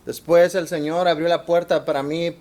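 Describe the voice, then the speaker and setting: A man with a Mexican accent giving a church workshop talk in short phrases, pausing between them. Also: on the high side, fairly even intonation, a little mellow. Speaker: workshop speaker.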